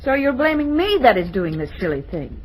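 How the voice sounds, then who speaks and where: An actress playing a proud, defensive young bride-to-be in an old-time radio drama. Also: female voice, on the high side, very animated, fairly quick, darkish tone, breathy edge. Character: proud young bride-to-be, stubborn daughter, softly torn. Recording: noisy old radio recording.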